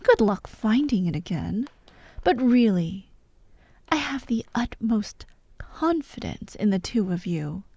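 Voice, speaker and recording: A woman's voice: mid-range voice, very animated, slow, darkish tone, husky, exaggerated voice. A woman playing a vengeful fired actress holding hostages in an ASMR audio drama, taunting and theatrical, often dropping to a whisper. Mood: gloating, cruel. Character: vengeful fired actress, sadistic hostage-taker directing victims.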